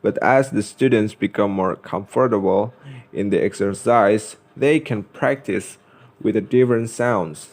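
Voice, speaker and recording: A young man reads a scripted lesson as a student presenter, carefully and haltingly, pausing in the middle of phrases. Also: on the low side. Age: young adult.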